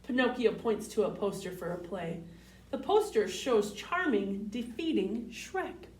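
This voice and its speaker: Female children's storyteller: sing-song, lively pitch, unhurried, recorded in a roomy space. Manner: sing-song, addressing children with simple questions. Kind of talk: storybook read-aloud for young children. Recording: roomy, distant microphone.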